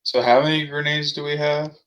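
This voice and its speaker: Bright-voiced man playing a tabletop game. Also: fairly high pitch, level tone, fairly quick. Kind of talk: casual game-table chat.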